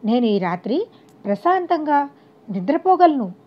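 A woman's voice: high voice, sing-song, a little slow. A woman voicing a poor, grateful old male beggar in an earnest storybook reading, on a dull recording. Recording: dull, lo-fi audio.

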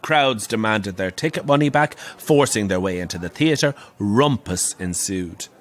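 A camp middle aged irish panto dame telling spooky theatre stories, dry and theatrical, audio drama. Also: male voice, lowish voice, lively intonation, a little slow. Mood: ominous, relishing. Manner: theatrical, wry, relishing the tale.

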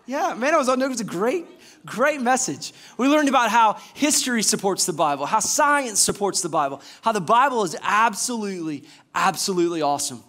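A man in his thirties from Georgia, an assistant pastor preaching a lively sermon on a church stage and asking the congregation questions. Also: high voice, fairly quick. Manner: enthusiastic, gestures widely, asks the congregation questions. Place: church stage before a screen.

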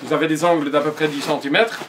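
A man's voice: fairly high pitch, fairly even intonation, fast talker, slight background noise. A man in his forties patiently giving step-by-step upholstery instructions in his workshop showroom, recorded on the camera mic. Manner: patient, explanatory, addresses viewer directly. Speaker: upholsterer demonstrating cushion making.

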